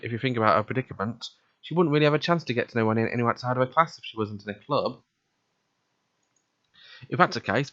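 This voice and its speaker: A man's voice: mid-range voice, a bit bright, no room sound, muffled recording. A man hosting a let's play, reading visual novel narration aloud and commenting casually, stumbling now and then.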